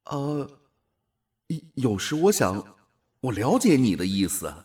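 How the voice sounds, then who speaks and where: An actor playing an awestruck space explorer in a radio drama, halting and full of wonder. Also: male voice, medium pitch, big pitch swings, a little mellow. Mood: sheepish. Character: awestruck space explorer newly transformed into an alien body.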